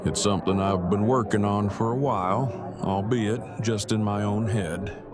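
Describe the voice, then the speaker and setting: A man playing an awkward, earnest, bookish survivor in an audio drama, speaking in formal, precise, wordy sentences with a deadpan delivery. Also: on the low side, a little slow, over music, crowd noise, close to an everyday voice. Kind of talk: fan audio drama acting.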